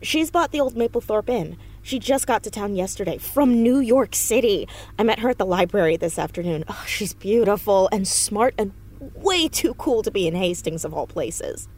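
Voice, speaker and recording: A woman plays an American teenage girl sleuth in an audio drama, mid-pitched for a female voice. She is earnest, confident and articulate, laying out her reasoning in long, eager sentences. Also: lively intonation, normal speed, darkish tone, close to an everyday voice. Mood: admiring. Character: precocious fourteen-year-old girl detective, eager and confident.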